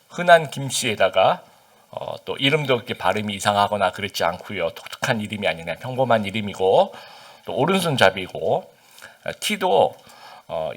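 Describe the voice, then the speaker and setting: A man's voice: mid-range voice, a bit bright. A man who is a pastor from Busan, with a slight Busan accent under standard Seoul speech, giving a conversational sermon full of fillers and questions to the congregation. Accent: slight Busan dialect under standard Seoul Korean. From Busan, South Korea.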